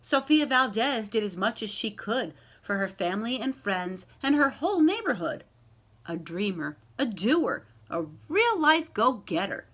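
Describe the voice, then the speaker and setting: A woman reading a children's picture book aloud in an expressive storytelling style, addressing young listeners directly. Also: fairly high pitch. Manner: animated, pausing to ask young listeners questions.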